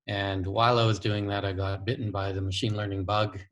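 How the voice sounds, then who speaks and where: A man from Canada in his fifties gives a guest lecture with many pauses and fillers. His voice is low and keeps a flat, even line. He sounds like a veteran practitioner talking to students. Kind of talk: recorded online lecture.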